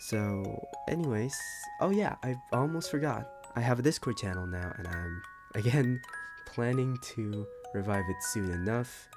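A man's voice: on the low side, unhurried, music in the background. A man talking casually and informally to his viewers as a channel creator, in a rambling way. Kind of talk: casual outro chat to viewers.

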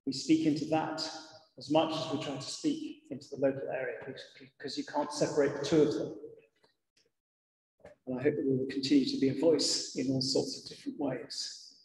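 A middle-aged man, a vicar giving a sermon in a measured, reflective manner with deliberate pauses. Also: mid-range voice, steady tone, darkish tone. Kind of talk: sermon to a congregation. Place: large church interior, indoors. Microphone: lectern gooseneck microphone. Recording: echoey church hall with long reverb.

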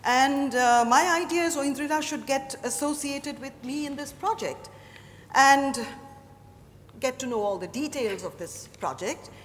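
An actress with an Indian accent playing a poised, disapproving businesswoman mother in a dramatized stage reading, speaking in a measured way with hesitant pauses. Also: sits high. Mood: measured, critical. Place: on stage in a large hotel ballroom. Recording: echoey ballroom stage, noisy background.

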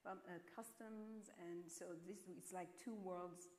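A woman with a French accent, speaking as an artist on a panel in a measured, reflective way. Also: on the low side, fairly even intonation, a little mellow. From France. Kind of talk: panel discussion. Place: stage of a large auditorium. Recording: echoey auditorium sound.

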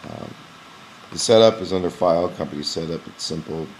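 A young man who runs a gym, walking through a software screen recording in a casual, explanatory way with frequent "um" pauses, recorded on a webcam at his desk. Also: sits low, a little hiss or hum, low-quality recording. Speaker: gym owner demonstrating software. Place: small indoor room at a computer. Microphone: webcam in front of the face.

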